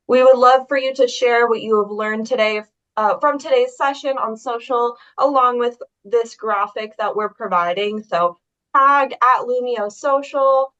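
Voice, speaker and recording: A woman moderating a webinar, reading announcements and guiding participants smoothly. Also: fairly high pitch, fairly bright tone. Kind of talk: scripted announcements and guidance. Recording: dull lo-fi webinar audio.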